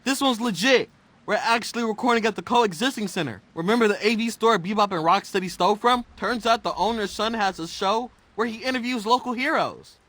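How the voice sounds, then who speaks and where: Bright, high-pitched cocky turtle teen. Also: male voice, fairly quick, no room sound. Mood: excited, boastful. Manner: breezy, boastful, joking. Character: upbeat, cocky teenage mutant turtle boy. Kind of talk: animated game voice acting.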